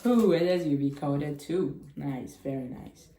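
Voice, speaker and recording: A woman whose first language is Chinese, chatting casually and haltingly through a cube unboxing with small grammar slips. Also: low-pitched. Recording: echoey room with clicking noise.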